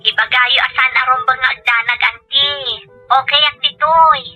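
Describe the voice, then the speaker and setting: An actress voices a busy young daughter in an audio drama. Her voice is high and extremely bright, with a northern Philippine accent. She comes across as dismissive and evasive, over faint music. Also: steady tone, moderate pace, heavily acted. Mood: defensive. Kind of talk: amateur audio drama acting.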